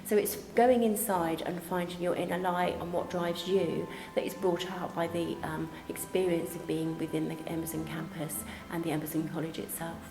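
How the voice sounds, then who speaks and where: A woman's voice: fairly low pitch, flat delivery, moderate pace, gravelly, hardly any pauses, music in the background. A woman on a college's staff, talking conversationally in a promotional interview, recorded in an echoey space. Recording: echoey space, faint background ambience.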